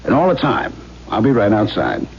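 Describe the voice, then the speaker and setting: Brash, husky carnival schemer. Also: male voice, fairly low pitch, wildly swooping pitch, normal speed, heavily acted. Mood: coaxing, cocky. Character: brash, fast-talking carnival schemer, cocky and coaxing man. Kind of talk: 1970s radio drama acting. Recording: muffled vintage radio broadcast.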